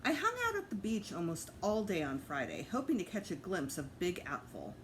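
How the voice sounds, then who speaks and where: Animated woman reading a children's story. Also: lowish voice, normal speed, a little hiss or hum. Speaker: teacher reading to students. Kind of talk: children's book read-aloud narration.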